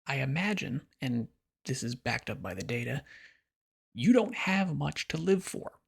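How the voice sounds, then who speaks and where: Brief: American male pundit, sarcastic and lecturing, rough fry-heavy voice. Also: medium pitch. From United States. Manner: blunt and sarcastic, lecturing the listener. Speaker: political commentator. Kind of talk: opinionated monologue.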